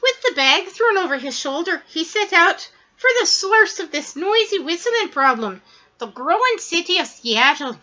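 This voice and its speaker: A woman reading a children's story aloud in an expressive, dramatic way, drawing out words for emphasis. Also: very high voice, moderate pace, dull audio. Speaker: storybook reader.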